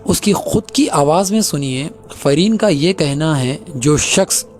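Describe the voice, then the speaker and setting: A man's voice: a little high, lively intonation, measured pace, darkish tone. A man with a Hyderabadi accent addressing viewers in an accusatory, emphatic way, repeating his phrases. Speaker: host of a clarification video.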